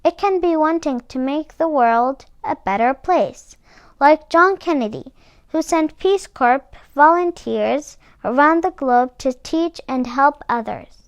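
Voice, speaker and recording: A woman reading a children's picture book aloud as a storyteller, phrasing deliberately and stressing key words. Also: high voice, lively intonation, no room sound. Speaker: read-aloud book club host.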